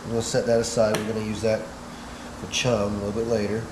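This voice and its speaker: A relaxed man in his thirties walks through a hands-on fishing how-to, unhurried and full of filler words. His voice rings off the hard walls of a small room, with a steady hum running underneath. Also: fairly low pitch. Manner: easygoing, many "uh" fillers, step-by-step. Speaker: fishing channel host. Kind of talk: casual how-to demonstration. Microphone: wide camera several feet away. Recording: roomy echo, clear background hum.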